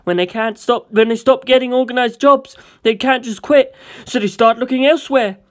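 A man voicing a jittery male underworld arms supplier in a comedic script reading, with a rapid, raised, excitable delivery. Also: very high-pitched, voice pushed up. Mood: agitated.

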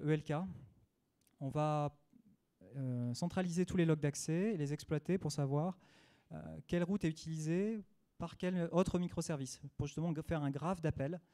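A man with a French accent speaks at a small meetup, his voice mellow and slightly higher than most men. He talks in a halting way, often pausing on fillers and restarting his words. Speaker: conference speaker. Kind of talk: technical meetup talk. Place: small dim meetup room.

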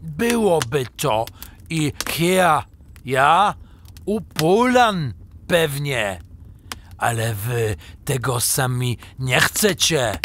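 A scheming German merchant in a radio play. He speaks extremely slowly and haltingly, with a German accent and a boastful, insinuating tone. There is slight noise behind the voice. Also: fairly high pitch, expressive, a bit bright, exaggerated voice. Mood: scornful, persuasive. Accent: German, put on. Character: scheming German trader tempting a Slav into treason. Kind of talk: audio drama acting.

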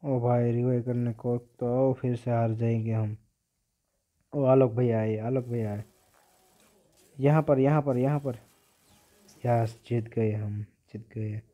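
A young Indian-accented man commentates casually over a mobile game. His voice is very dark and muted in tone, with a narrow pitch range. He talks slowly and often repeats short phrases. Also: steady tone. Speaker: gaming video host.

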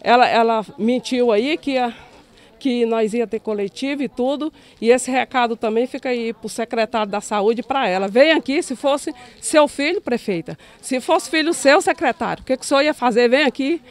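A woman's voice: fairly high pitch, moderate pace. Brazilian woman outside protesting, angry, trips over words, calls out officials. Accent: Brazilian Portuguese. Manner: indignant, stumbling, calls out officials. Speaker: resident at a protest. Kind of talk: street interview. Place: outdoors at a protest.

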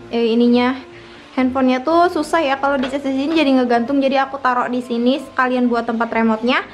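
A young adult woman, chatting casually and explaining things to viewers as a home-tour vlogger. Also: sits high. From Indonesia. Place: her own small house, front yard then living room. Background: background music.

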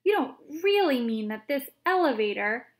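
A woman voicing an excitable elderly grandfather who shouts in shrill alarm and glee. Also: normal speed.

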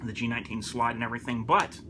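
A man's voice: medium pitch, steady tone, fast talker, noisy background. A man talking casually to camera as a hobbyist presenter, informal and repeating his points for emphasis. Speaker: gun enthusiast presenter. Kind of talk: casual product review to camera.

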